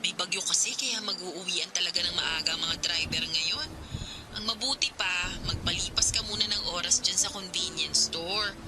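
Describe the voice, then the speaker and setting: An actress in a Filipino radio drama playing a worried, protective older sister who questions and urges with concern. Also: female voice, on the low side, normal speed, loud music behind the voice, lightly acted. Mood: urging.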